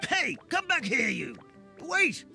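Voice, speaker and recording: A man's voice: very high voice, huge pitch swings, slow pace, creaky, bright, forceful tone, faint music behind, exaggerated voice. A man playing a jolly, doting elderly forest grandpa with a folksy manner in a video game. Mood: alarmed. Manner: folksy, chuckling exclamations. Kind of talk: video game character acting.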